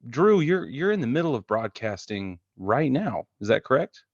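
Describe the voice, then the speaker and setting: A man's voice: medium pitch, very animated, normal speed, no echo, dull audio. A man hosting a podcast, chatting informally and jokingly about sports. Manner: jokey, informal. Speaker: podcast host. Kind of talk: casual sports chat.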